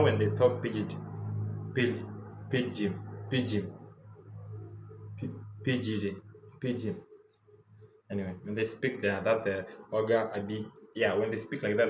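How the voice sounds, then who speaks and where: A man's voice: on the low side, roomy sound, a little hiss or hum, low-quality recording. A young Kenyan man with a Kenyan English accent, giving casual travel tips in a loose, chatty way full of repeats and fillers. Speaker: travel vlogger. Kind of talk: casual travel advice talk.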